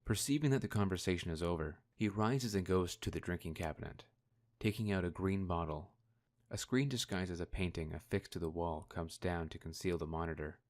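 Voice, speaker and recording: A man's voice: deep voice, a little fast. A man reading scripted narration for a radio drama, describing the scenes. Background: faint music.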